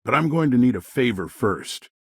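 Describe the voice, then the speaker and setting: An actor playing a slick, corrupt colonial politician in a video game, smooth-talking, smug and condescending with small evasive hesitations. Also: male voice, medium pitch, very animated, normal speed, no room sound, studio quality. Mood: sly, persuasive. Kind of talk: video game character dialogue.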